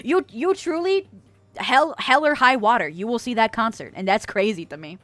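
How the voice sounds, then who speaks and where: A woman's voice: on the high side, animated, moderate pace, a bit bright, no room sound, soft background music. A young woman streamer chatting casually with her chat, bubbly and giggly, reading out viewer messages.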